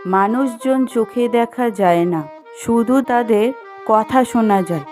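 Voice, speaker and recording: Slow-paced woman narrating a fairy tale. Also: fairly high pitch, a little mellow. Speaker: storyteller, narrator. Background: music.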